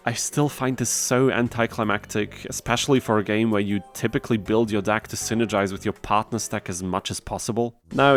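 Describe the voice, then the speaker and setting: A man narrating a video game challenge from a casual script, with wry, sarcastic humor. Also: fairly low pitch.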